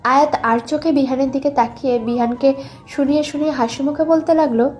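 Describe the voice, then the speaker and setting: A woman's voice: sits high, fairly even intonation, a little fast, darkish tone. A young woman reading scripted narration for a dramatized love story. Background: background music.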